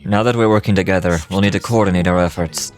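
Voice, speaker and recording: An actor playing a grave, commanding military leader in a fantasy game, speaking in terse, formal lines. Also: male voice, low voice, fast talker, soft background music, close to an everyday voice. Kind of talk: video game dialogue.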